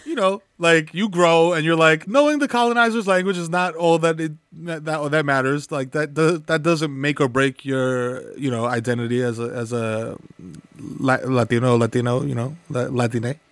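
Bronx man, New York accent, Spanish first language, chatty interview guest, a bit high voice, dry close sound. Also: moderate pace, no room sound. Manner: animated, laughing, slangy, many fillers. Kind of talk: casual conversational interview.

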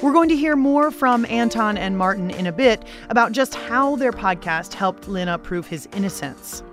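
A woman's voice: mid-range voice, animated, moderate pace, over music. A woman with an American accent hosting a radio show, reading scripted narration in a playful, conversational way. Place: dry studio.

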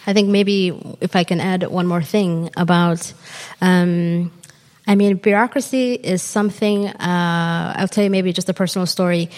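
A woman's voice: on the low side, steady tone, unhurried, darkish tone. Panel guest, woman from Somalia, earnest, searching for words; live hall reverb. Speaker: panel guest from a refugee aid group. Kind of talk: panel discussion.

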